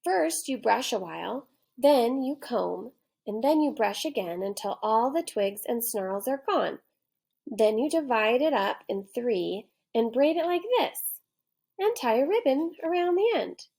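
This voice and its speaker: Woman as a polite, high-voiced little storybook boy. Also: lively intonation, measured pace, gravelly, a little mellow, slightly rough, exaggerated voice. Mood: helpful. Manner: pleading, helpful, polite. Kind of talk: children's storybook character reading.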